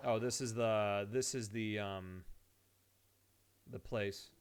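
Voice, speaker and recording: A man's voice: lowish voice, fairly even intonation, slow, background noise. A man doing casual live game-stream commentary, rambling playfully with dry asides. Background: music.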